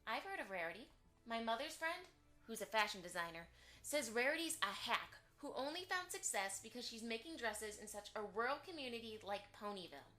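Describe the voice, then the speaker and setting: A woman's voice: on the high side, big pitch swings, brisk, sharp tone, faint music behind. A woman playing a spiteful, condescending young unicorn filly from a rich family in an amateur audio drama. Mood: snooty disdain. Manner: condescending, haughty.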